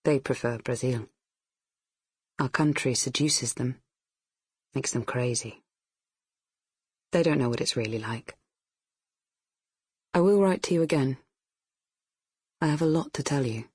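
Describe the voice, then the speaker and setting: Older Brazilian mother, low-pitched, husky, bitter and wistful, deliberate, audiobook. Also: darkish tone, no echo, studio quality, exaggerated voice. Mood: quietly bitter. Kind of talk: audiobook narration in character.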